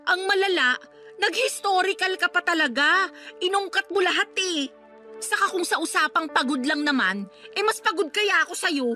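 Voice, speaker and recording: A woman's voice: very high voice, moderate pace, bright, forceful tone, faint music behind. A woman playing a defiant, irritable fiancée in a Tagalog radio romance drama, with snappy, whiny retorts. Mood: irritated. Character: defiant, irritable, self-centred fiancée quarrelling with boyfriend.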